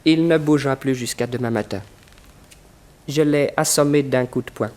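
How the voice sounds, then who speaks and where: An actor playing a cunning, calmly brutal accomplice in a French radio crime serial, deliberate and commanding. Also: male voice, mid-range voice, natural voice. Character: cunning male inside accomplice, calm and brutal. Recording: noisy old radio recording.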